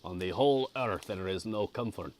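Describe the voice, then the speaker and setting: A man's voice: on the low side, moderate pace, lightly acted. A man voicing a miserable, tormented man from a gothic novel, speaking in formal, mournful literary phrases. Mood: despairing.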